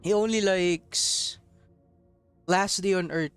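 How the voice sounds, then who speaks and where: A man's voice: sits high, steady tone, slow, clear, resonant tone, no room sound, faint music behind. A man from Southeast Asia giving rambling, casual gameplay commentary, laughing often and swearing offhandedly. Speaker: mobile game streamer.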